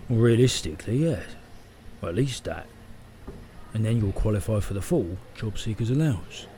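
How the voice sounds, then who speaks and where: A man's voice: lowish voice, expressive, moderate pace, warm tone, a little hiss or hum, natural voice. An actor playing a firm, matter-of-fact male official in an audio drama. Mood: stern.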